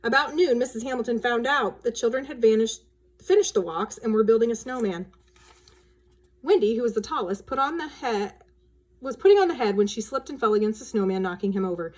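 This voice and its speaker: A woman's voice: on the high side, lively intonation, fairly quick, darkish tone. Woman reading a children's story aloud. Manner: acts out dialogue with changes of voice.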